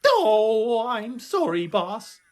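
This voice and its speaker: High, meek Martian sidekick. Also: female voice, sing-song, extremely slow, darkish tone, heavily acted. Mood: sheepish. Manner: stammering, meek. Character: timid, apologetic, childlike Martian simpleton sidekick. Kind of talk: comic sci-fi radio play acting.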